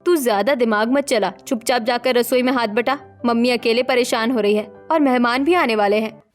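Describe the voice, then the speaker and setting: A bossy woman of the household, played by a woman in a cartoon family drama. She scolds in a brisk, chiding way, in a high voice over music. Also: lightly acted. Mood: scolding. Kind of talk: Hindi animated story character voice.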